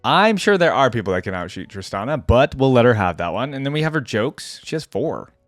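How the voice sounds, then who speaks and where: A woman's voice: extremely low pitch, sing-song, fairly quick, dry recording. A woman giving casual reaction commentary as a video host, close to the microphone.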